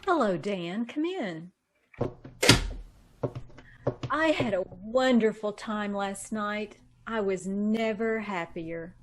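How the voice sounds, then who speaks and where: A woman acts out a secretive young woman in love in a 1940s-style radio play. She speaks slowly, with nervous little laughs and halting stammers. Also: medium pitch, expressive, slight background noise. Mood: happy, tender. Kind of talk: 1940s radio drama acting.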